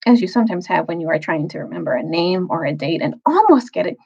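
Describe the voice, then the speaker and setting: A woman reading a children's novel aloud as a storyteller, phrasing carefully with dramatic pauses and emphasis. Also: on the low side, lively intonation, creaky, darkish tone, breathy edge, lo-fi sound.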